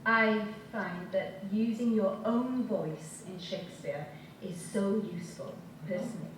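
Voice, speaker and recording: Welsh actress talking on stage, mid pitch, distant mic, lots of room echo. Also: female voice, measured pace. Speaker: actor on a panel. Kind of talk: panel discussion. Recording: echoey hall from far off, noisy.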